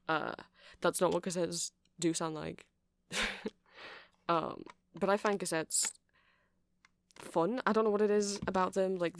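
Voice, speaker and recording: A man's voice: fairly high pitch, vocal fry, a little hiss or hum. A man playing a nerdy, friendly vampire music shop clerk in an ASMR roleplay, rambling and hesitant as he explains things. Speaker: shopkeeper character in ASMR roleplay.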